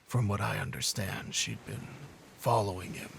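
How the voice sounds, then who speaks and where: Gravelly, deep-voiced bitter cop. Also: male voice, steady tone, moderate pace, a bit bright, a little hiss or hum. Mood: grim, guarded. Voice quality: gravelly, breathy low rasp. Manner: brooding, hard-boiled, terse, profane. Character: bitter, weary middle-aged American cop, grieving father. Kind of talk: scripted noir game cutscene acting.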